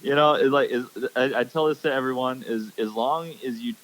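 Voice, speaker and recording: A young man from Hawaii, a pro angler, chatting as a podcast guest and speaking haltingly with many fillers. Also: medium pitch, a bit bright, rough recording. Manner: hesitant, many fillers and restarts. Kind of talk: casual podcast chat.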